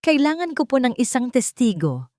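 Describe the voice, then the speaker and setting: A woman's voice: sits high, sing-song, moderate pace, darkish tone, dry recording, studio quality, pitched above the performer's own voice. A woman voicing a serious, determined man bent on justice, speaking formally and insistently in an audio drama. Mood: grave, persuasive.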